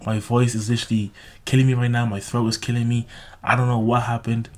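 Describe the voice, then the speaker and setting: A man with a slightly raspy, sore-throated voice giving casual, unscripted advice to viewers, bluntly, with heavy swearing, repetition and frequent "okay?" tags. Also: mid-range voice, flat delivery, quick pace, darkish tone. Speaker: self-improvement video host.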